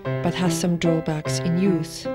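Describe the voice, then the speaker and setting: A woman giving measured, scripted documentary narration. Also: lowish voice, level tone, over loud music.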